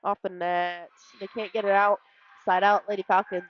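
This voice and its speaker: A woman's voice: mid-range voice, flat delivery, normal speed, lo-fi sound, slight background noise. A woman co-commentating live volleyball in casual, clipped, touch-by-touch phrases.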